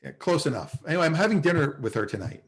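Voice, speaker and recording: A man's voice: on the high side, big pitch swings, fast. A man playing a lovesick, wisecracking, anxiously hopeful sitcom character in a table read over a video call. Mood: pleading.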